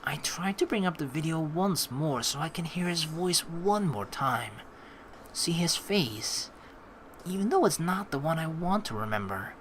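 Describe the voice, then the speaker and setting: Mid-pitched gamer with noisy background. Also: animated, a bit bright. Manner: rambling, halting when thinking aloud. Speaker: let's player. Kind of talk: game playthrough, reading text aloud and casual commentary.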